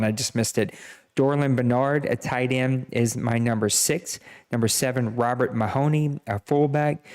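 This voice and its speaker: Guy running a live draft stream, rattles off player stats, jokes at himself, mid pitch. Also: a little mellow, clean tone. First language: Cajun French. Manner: reads player stats, self-deprecating jokes. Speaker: podcast host running a draft. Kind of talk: casual live-stream commentary.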